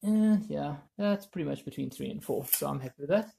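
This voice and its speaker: A man presenting a hands-on how-to in a casual, offhand way, thinking aloud as he works, with a husky, creaky voice. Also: on the high side, expressive, fairly quick, mellow. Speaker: DIY video presenter.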